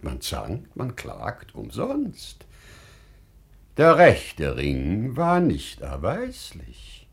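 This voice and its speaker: Slow, expressive wise storyteller. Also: male voice, mid-range voice, husky, exaggerated voice. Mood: wry, resigned. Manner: measured, deliberate, dramatic phrasing with weighty pauses. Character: wise, humble storyteller addressing a sultan with a parable. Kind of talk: classical German stage-style radio play acting.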